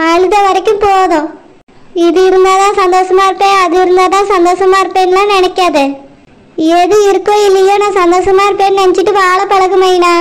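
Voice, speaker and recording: Woman doing a soft-spoken mom comforting her kid, very high voice, even gentle advice. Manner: soothing, steady advice. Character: patient consoling mother of a grown daughter.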